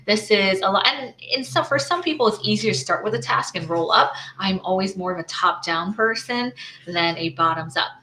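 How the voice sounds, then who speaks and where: A woman's voice: medium pitch, lively intonation, normal speed, bright, forceful tone, slight background noise, poor audio. A woman running her own small business, walking through her slides in a casual screen-share, thinking aloud with lots of "right?" tags and restarts.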